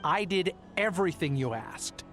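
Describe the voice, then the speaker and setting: A beleaguered family man in a game drama, a reluctant informant. His voice is on the high side for a man, earnest and defiant, over faint music. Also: expressive, normal speed, fairly bright tone. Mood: angry, defiant. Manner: earnest, protesting. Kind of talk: video game voice acting.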